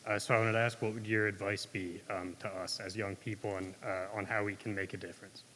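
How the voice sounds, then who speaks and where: A young university student asking a question from the audience in a hesitant way, with many fillers. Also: male voice, lowish voice, level tone, brisk, fairly bright tone. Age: young adult. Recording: hall reverb with faint hiss.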